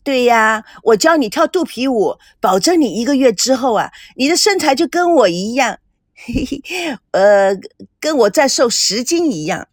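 A woman's voice: a little high, lively intonation, moderate pace, dry recording, clean studio sound, voice pushed up. A woman voicing a vain, bubbly, coquettish woman in an audiobook, cutesy and boastful. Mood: excited bragging.

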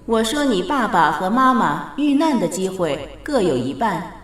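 Strong echo, calm goddess, measured, gently authoritative, patient, lively intonation, radio drama. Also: female voice, mid-range voice, far from the mic, soft background music. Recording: very echoey reverberant studio effect.

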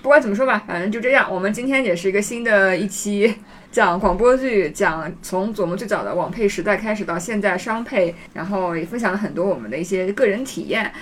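A woman hosts a casual podcast chat at a brisk clip. She is talkative and reflective, with a mid-pitched voice. A faint layer of noise sits behind her. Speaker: podcast host.

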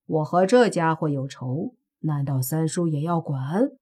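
A woman's voice: sits low, animated, measured pace, mellow, husky, exaggerated voice. A woman voicing a proud male fox demon speaking with stiff, restrained politeness.

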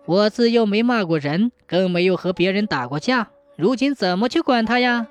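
A woman's voice: medium pitch, animated, normal speed, close to an everyday voice. A troubled, dutiful young husband in a folk tale, read by a woman. He sounds meek and earnest, pleading helplessly, with music playing behind.

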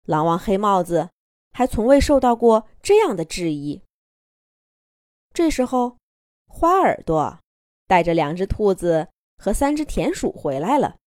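A woman narrating a children's fairy tale in an expressive, playful storytelling manner. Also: medium pitch, measured pace, long pauses, no room sound, clean studio sound.

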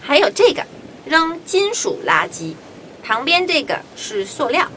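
A woman playing a young girl in a children's radio drama, speaking expressively and clearly. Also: sits high, sing-song, measured pace, bright, forceful tone, roomy sound, slight background noise, exaggerated voice, low-quality recording. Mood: helpful. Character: Jill, bright young girl playing on the beach.

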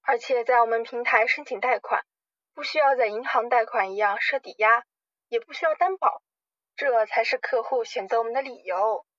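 A woman playing a smooth-talking, scheming loan company manager with polite, formal sales patter in an amateur radio drama. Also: fairly high pitch, moderate pace, a bit bright, no room sound, muffled recording. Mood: persuasive, reassuring.

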